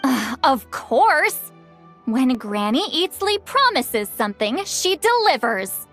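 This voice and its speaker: High, playful self-styled granny. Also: female voice, big pitch swings, slow, fairly bright tone, faint music behind, heavily acted. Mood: eager, confident. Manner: breezy, boastful, theatrical. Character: playful, proud woman calling herself Granny, youthful-sounding. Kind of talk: video game voice acting.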